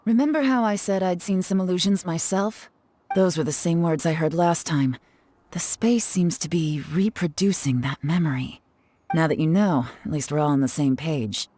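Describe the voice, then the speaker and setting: A woman playing a cool, self-assured investigator in a video game, composed, measured and knowing. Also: fairly low pitch, mellow, slight background noise, lightly acted. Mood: composed, analytical. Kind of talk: video game character acting.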